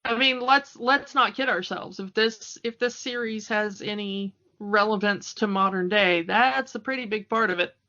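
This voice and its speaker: A woman's voice: medium pitch, normal speed, hardly any pauses, sharp tone, muffled recording. A woman co-hosting a podcast, chatting casually through a recap with a fan's wry asides. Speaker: podcast co-host. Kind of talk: casual chat recapping a TV cartoon.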